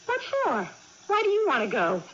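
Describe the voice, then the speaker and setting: An actress playing a merry, headstrong, restless young farm woman in a vintage radio drama, excitable and impatient. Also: high voice, normal speed. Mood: impatient, eager. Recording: muffled noisy old radio broadcast.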